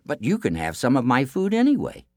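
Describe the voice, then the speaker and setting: Fairy-tale prince, man reading: youthful, good-natured, hesitant yet brave, lively intonation. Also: mid-range voice, fairly quick, dry recording, studio quality, pitched above the performer's own voice. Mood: hesitant, kindly. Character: young kindly prince, hesitant but brave.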